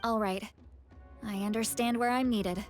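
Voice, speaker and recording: A woman's voice: fairly high pitch, fairly even intonation, normal speed, faint music behind, close to an everyday voice. A woman playing a proud, blunt, feisty shaman in a video game, youthful-sounding and haughty. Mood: serious, decisive. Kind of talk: animated game voice acting.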